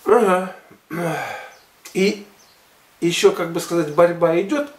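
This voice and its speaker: An older man in his sixties tells a personal story in a colloquial, expressive manner. His voice sits a little high for a man. He often repeats words for emphasis, and the room around him is clearly echoey, as if the camera is a few metres away. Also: takes it slowly. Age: 60s. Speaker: health and gymnastics video blogger. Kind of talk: casual storytelling monologue to viewers. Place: living room at home, indoors.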